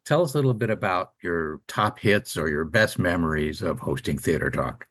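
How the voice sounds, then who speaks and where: Casting: male radio co-host, low register, conversational interviewer, close and dry. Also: lively intonation, normal speed, fairly bright tone, dry recording. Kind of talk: casual radio chat with announcements.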